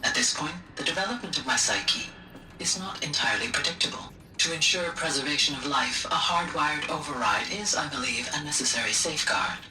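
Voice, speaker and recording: A woman playing a gentle, thoughtful female artificial intelligence in a video game, speaking formally and precisely with measured diction. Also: low voice, a crowd in the background, close to an everyday voice. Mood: earnest, cautious. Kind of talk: video game cutscene acting. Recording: roomy echo, distant, music behind.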